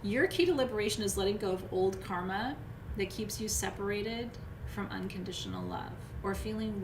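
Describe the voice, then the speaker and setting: Hoarse woman teaching in an echoey room. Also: mid-range voice. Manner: chatty, loose, many "um"s and restarts. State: hoarse, voice wearing out. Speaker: spiritual teacher reading astrology. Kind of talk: live online teaching talk to an audience. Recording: roomy echo with steady background noise.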